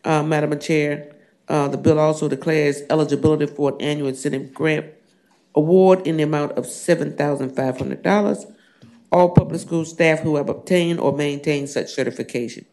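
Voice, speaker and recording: Hoarse, gravelly-voiced man presenting bills. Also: on the high side, steady tone, moderate pace, a little mellow. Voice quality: scratchy, gravelly. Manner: reads bill text at length with frequent fillers. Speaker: state senator presenting bills. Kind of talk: formal legislative bill presentation. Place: legislative committee room.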